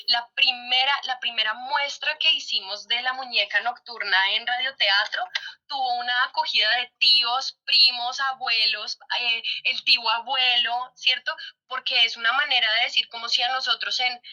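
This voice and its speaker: A woman's voice: fairly high pitch, pushed and bright, lo-fi sound. A woman answering conversationally in an interview, often adding little checking tags. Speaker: theatre performer being interviewed.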